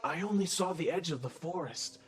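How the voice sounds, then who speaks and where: A man's voice: on the high side, a little fast, close to an everyday voice. A man playing a timid, defensive pony minion who stammers nervously in a cartoon drama. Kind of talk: animated fan audio drama acting.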